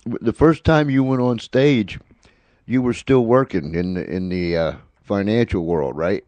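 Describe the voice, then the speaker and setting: Guy hosting a radio chat, kinda low mellow voice, halting questions, repeats himself. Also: no room sound, muffled recording. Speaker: radio show host, interviewer. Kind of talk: casual interview questioning.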